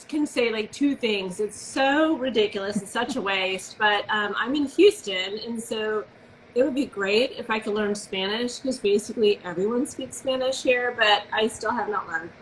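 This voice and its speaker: A woman's voice: a little high, fairly bright tone, slight background noise, poor audio. A woman hosting a casual live video interview, talking with gushing enthusiasm. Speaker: host.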